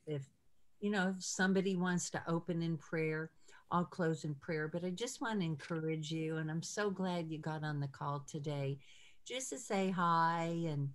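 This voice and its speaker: An older woman leading an informal ministry video call, speaking in an encouraging, halting way from a room at home. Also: deep voice. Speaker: ministry leader hosting a call. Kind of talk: informal prayer-call talk. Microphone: video call computer mic.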